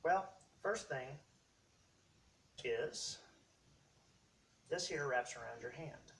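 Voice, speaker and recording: A man hosts a casual hands-on gear demonstration. His explanation is halting and rambling, picked up at some distance from the mic with faint noise behind it. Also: mid-range voice, expressive, vocal fry, fairly bright tone. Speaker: hobbyist video host demonstrating costume gear.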